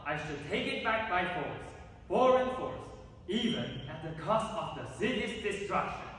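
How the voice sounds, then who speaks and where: A young man plays a proud, indignant Greek prince on stage. His high, forceful voice declaims hotly in a very echoey hall. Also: very animated, measured pace, distant mic, slight background noise, heavily acted. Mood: furious, threatening. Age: young adult. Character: Polynices, proud hot-tempered exiled prince. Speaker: student actor in reader's theater. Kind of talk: theatrical scripted recitation.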